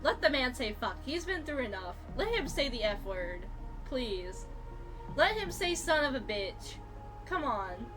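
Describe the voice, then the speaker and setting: A woman's voice: high voice, sing-song, measured pace, music in the background. A woman game streamer giving casual live reactions in a blunt, informal, sweary way.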